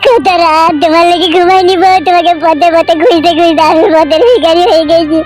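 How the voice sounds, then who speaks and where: A woman voicing a frantic young village husband in a comic cartoon, whiny and coarse, with a pitched-up voice. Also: steady tone, brisk, darkish tone, soft background music. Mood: tearful pleading. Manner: pleading, coarse village talk.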